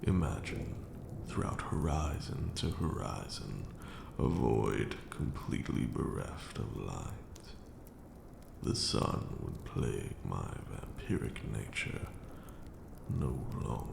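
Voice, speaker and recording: An ancient vampire lord speaks in an extremely low, heavily creaking voice. He is brooding, grand and formal, hushed as in an ASMR roleplay, slowly telling dark tales of old. The sound rings in a long, echoey reverb. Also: lively intonation, background noise, exaggerated voice. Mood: quietly proud. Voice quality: gravelly, breathy drops into near-whisper. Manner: slow, deliberate, hushed, formal archaic phrasing. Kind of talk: ASMR roleplay monologue, dramatic storytelling.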